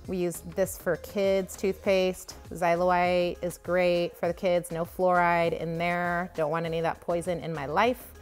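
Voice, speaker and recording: A woman's voice: fairly low pitch, level tone, a little slow, hardly any pauses. A woman chatting casually and enthusiastically as she vlogs her shopping, recorded on a handheld phone inside a grocery store. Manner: enthusiastic, gushing over products. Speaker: vlogger giving a grocery haul tour. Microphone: handheld phone behind the camera. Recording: store ambience noise, faint music behind.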